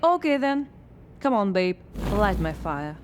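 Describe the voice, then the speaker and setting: A woman's voice: mid-range voice, measured pace, background noise. A woman playing a sarcastic, blocked playwright. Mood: sardonic. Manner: sarcastic, wry, deadpan comebacks. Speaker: writer. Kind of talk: radio drama acting.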